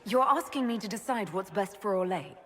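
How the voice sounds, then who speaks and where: A woman's voice: medium pitch, lively intonation, fairly quick, fairly bright tone, lightly acted. An actress plays an elf mage leader in a fantasy game cutscene. Her mid-range female voice is controlled, direct and probing. Music plays behind her. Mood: composed, probing. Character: composed female elf mage leading an inquisition.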